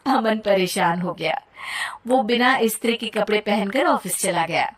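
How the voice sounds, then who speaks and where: A woman narrating a cartoon moral story in a plain, even storytelling manner. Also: medium pitch, a little slow.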